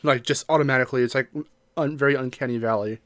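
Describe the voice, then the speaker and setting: A man's voice: medium pitch, fairly even intonation, fast talker, a bit bright, dry recording. A man co-hosting a podcast, talking casually in an emphatic, opinionated way. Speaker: podcast co-host. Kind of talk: casual discussion podcast chat.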